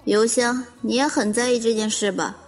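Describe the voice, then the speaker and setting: Woman acting haughty young niece, high voice. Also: steady tone, normal speed, close to an everyday voice. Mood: bored, disdainful. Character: blunt, haughty, impatient young niece from wealthy family. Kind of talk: Chinese radio drama acting. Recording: long reverb, music underneath.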